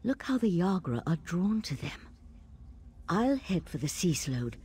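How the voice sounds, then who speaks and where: An actress playing a wary, knowledgeable fantasy adventurer in a video game, measured and serious. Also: female voice, lowish voice, very animated, warm tone, slightly rough, exaggerated voice. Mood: grave, disdainful.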